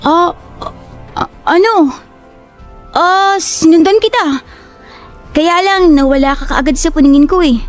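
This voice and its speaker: Woman as stubborn, loyal fairy-tale girl; high voice, music bed. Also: measured pace, pauses often. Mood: caring. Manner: playful, headstrong, affectionate. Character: stubborn, devoted young woman. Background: faint music.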